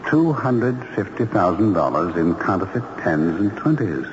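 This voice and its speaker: An actor playing a courteous, lovelorn male ghost in an old-time radio drama, speaking formally and gently. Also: deep voice, sing-song, normal speed. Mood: earnest, hesitant. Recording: muffled, noisy old radio, long echo.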